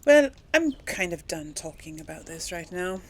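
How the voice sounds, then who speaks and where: A woman's voice: fairly low pitch, very animated, moderate pace. A woman hosting a casual let's play, musing and thinking aloud as she goes. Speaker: gaming video host. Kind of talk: casual let's play commentary, some role-played replies.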